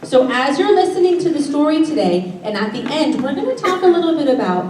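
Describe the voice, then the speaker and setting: A woman teacher addressing young children before a read-aloud, asking simple questions and explaining deliberately. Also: sits high, big pitch swings, mellow, recorded from a distance. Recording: echoey room from afar, faint noise.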